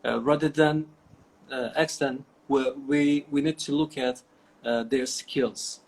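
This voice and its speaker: A man's voice: mid-range voice, steady tone, slow, vocal fry, a little mellow, a little hiss or hum, rough recording. A middle-aged man with a Turkish accent, answering interview questions over a video call, with many fillers and restarts. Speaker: guest. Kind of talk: online interview.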